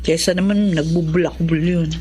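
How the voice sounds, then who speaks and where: A woman playing a supportive, sensible young older sister in a radio drama, calm and persuasive with a Filipino accent. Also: lowish voice, moderate pace, breathy edge.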